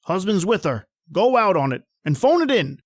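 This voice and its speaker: An actor playing a brusque newspaper editor barking out assignments in an old-time radio drama. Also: male voice, sits high, very animated, moderate pace, no room sound, studio quality. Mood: urgent.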